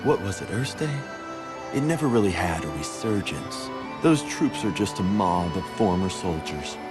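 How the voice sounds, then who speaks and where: Male game villain: smug, teasing mastermind, low voice that swoops expressively, music underneath. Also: big pitch swings. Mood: mocking, chatty. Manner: teasing, theatrical, drawn-out delivery. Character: sly theatrical male mastermind, playful, condescending, falsely sentimental. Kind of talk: video game voice acting.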